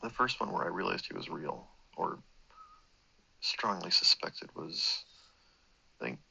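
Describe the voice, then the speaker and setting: A man's voice: low-pitched, unhurried, vocal fry, sharp tone, husky, poor audio. A man with a sore throat telling a long personal story, meandering and correcting himself, swearing casually. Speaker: vlogger. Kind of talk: rambling personal monologue.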